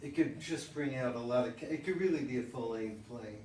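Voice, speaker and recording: A man's voice: medium pitch, a little fast, darkish tone. Tentative man, distant in an echoey room. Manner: hesitant, tentative. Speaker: audience member in discussion. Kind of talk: casual group discussion. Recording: roomy, distant, faint noise.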